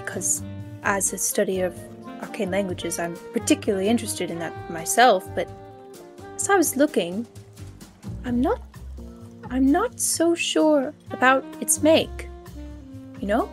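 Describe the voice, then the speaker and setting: A woman puts on a chatty, well-mannered male scholar in a tabletop game. The voice sits fairly high and trips over words in an eager, nervous, courteous way. Faint music plays underneath. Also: animated, measured pace, pauses often. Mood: quietly sceptical.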